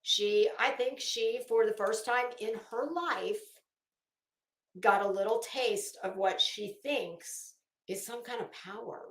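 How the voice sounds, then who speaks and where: Woman streamer on a casual rant, kinda high voice, sarcastic and blunt, stops and stresses words, roomy echo. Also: takes it slowly. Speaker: YouTube host. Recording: echoey room.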